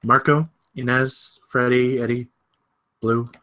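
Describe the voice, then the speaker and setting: A man's voice: mid-range voice, fairly even intonation, takes it slowly, a bit bright. A man narrating scripted stage directions at a film table read.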